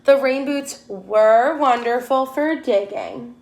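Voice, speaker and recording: A woman's voice: on the high side, takes it slowly, a little mellow. A woman teacher reading a children's storybook aloud expressively, putting questions to her young listeners.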